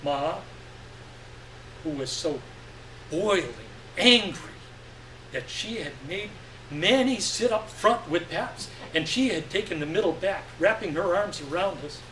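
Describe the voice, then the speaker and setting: A man reads literary fiction aloud on stage with deliberate, expressive phrasing. His voice is fairly high and very unhurried, picked up from a distance in a roomy space. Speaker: story reader. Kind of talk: dramatic reading of literary fiction to a live audience. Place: live stage reading event. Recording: echoey room, distant mic, faint noise.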